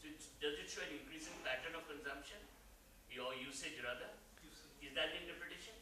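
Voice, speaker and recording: A man with an Indian English accent asking questions from the audience in a booming voice. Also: fast. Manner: loud, booming. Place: conference hall. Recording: echoey hall with noise, from the floor.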